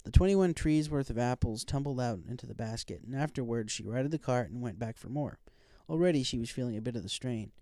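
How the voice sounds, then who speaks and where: A man's voice: medium pitch, animated, a little fast, hardly any pauses, mellow, dry recording, clean studio sound. A man reading a scripted story aloud as an audiobook narrator.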